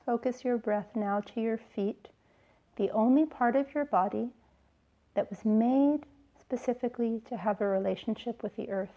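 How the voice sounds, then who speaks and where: A woman yoga teacher leading a guided relaxation in a soothing, gentle way, with unhurried phrases and pauses. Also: fairly high pitch, poor audio. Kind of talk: slow guided relaxation instruction.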